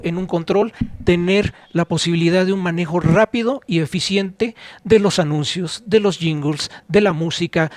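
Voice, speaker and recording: A man's voice: fairly high pitch, dry recording. A Mexican man, middle-aged or older, chatting casually as a podcast co-host in an enthusiastic, explanatory, emphatic way. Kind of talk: casual conversational talk recalling radio technology and history.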